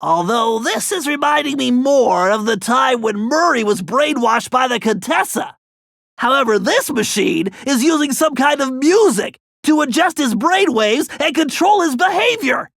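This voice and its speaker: A nerdy young male turtle from an animated video game, speaks in a very high, nasal voice with a creaky edge. He is bookish and anxious, giving earnest, precise mission briefings. The sound is dry and close. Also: moderate pace, no room sound, heavily acted. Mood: explaining, studious.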